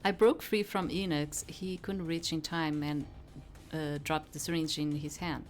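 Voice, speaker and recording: A woman's voice: sits low, fairly even intonation, unhurried. A woman reading a visual novel aloud as dramatic first-person story narration. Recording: soft music behind the voice.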